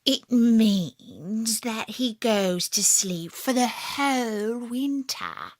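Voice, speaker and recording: A woman voicing a sly, know-it-all male fox in a children's story, speaking slowly and knowingly. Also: fairly high pitch, big pitch swings, no room sound, clean studio sound, full character voice. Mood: smug, explaining.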